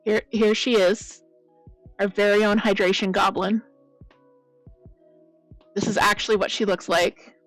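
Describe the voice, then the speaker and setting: A woman chatting casually with viewers as the host of a crafting stream, her voice a bit croaky. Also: medium pitch, flat delivery, unhurried, long pauses, no room sound, faint music behind, rough recording. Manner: chatty, rambling, greets viewers by name. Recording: loud blown-out mic.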